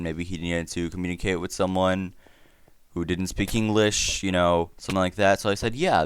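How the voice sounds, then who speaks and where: Deep-voiced teen boy rambling a storytime. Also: slight background noise. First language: Spanish. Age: teens. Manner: rambling, self-deprecating, stammers and restarts often. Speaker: YouTuber. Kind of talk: storytime while playing a video game.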